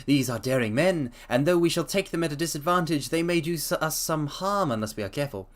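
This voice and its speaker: A man voicing a sharp, commanding Victorian detective, lifting his voice higher and louder than his own, with a stern, crisp delivery. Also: animated, brisk, lightly acted.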